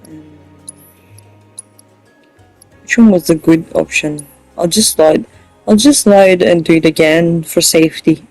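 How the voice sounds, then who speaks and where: A gaming host giving casual, low-key let's play commentary, thinking aloud. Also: a little slow, pauses often, darkish tone, no echo, soft background music, rough recording.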